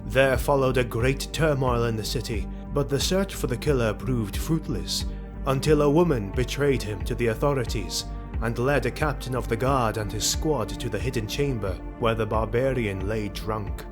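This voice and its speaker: Music plays clearly under a male narrator with a mid-pitched voice. He delivers a dramatic fantasy story with solemn, deliberate phrasing and a literary, storyteller's cadence. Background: background music.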